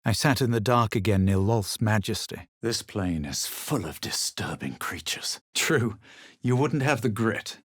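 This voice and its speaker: Husky, haughty fantasy adventurer. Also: male voice, on the low side, expressive, no echo, clean studio sound. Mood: cool, brooding. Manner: measured, literary, self-assured delivery. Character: haughty young male fantasy adventurer, coolly superior. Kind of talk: video game character narration and barks.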